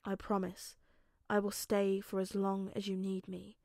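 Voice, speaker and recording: Devoted, grieving father for a story reading, performed by a woman: tender, affectionate, soft-spoken, dry recording. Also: medium pitch, monotone, unhurried, no echo, close to an everyday voice. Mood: reassuring. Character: tender grieving father, a former villain devoted to his son. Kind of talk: audiobook character acting.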